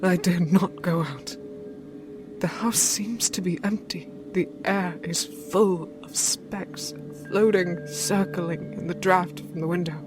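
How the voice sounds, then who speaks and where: A woman's voice: on the low side, fairly even intonation, takes it slowly. A woman playing a frightened, fragile young lady in a dramatic old-time radio play. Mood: mounting dread. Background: prominent music.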